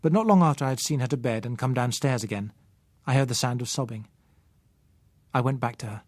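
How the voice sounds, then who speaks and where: A man's voice: medium pitch, darkish tone, no echo. British man narrating a novel, dry studio sound. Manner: measured, literary storytelling. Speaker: audiobook narrator. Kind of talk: scripted first-person novel narration.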